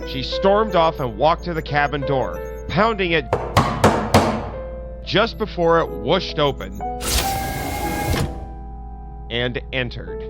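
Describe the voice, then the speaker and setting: A man's voice: on the high side, big pitch swings, takes it slowly. A man narrating a scripted audio drama as a journal entry. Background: prominent background music.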